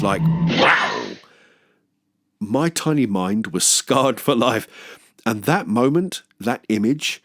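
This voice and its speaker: A British man hosting humorous scripted film commentary, with wry, self-deprecating and expressive comic asides. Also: lowish voice, dry recording, clean studio sound. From England. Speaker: film review video host.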